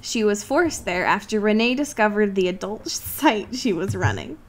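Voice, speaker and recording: A woman's voice: medium pitch, lively intonation, moderate pace. A woman podcast co-host who chats casually and reads aloud in a giggly, embarrassed, apologetic manner. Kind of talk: casual chat with some reading aloud.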